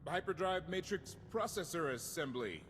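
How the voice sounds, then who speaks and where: A man playing an exasperated, passionate starship pilot in a video game, expressive and sardonic. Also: fairly high pitch, normal speed, in a large hall, background noise, close to an everyday voice. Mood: distracted, grumbling. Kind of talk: video game cutscene acting.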